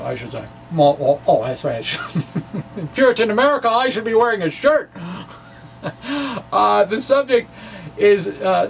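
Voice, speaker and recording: A middle-aged man doing a dramatic reading of a science blog, halting and wry, with informal self-deprecating asides. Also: sits high. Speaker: science video blogger. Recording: distant mic, faint background noise.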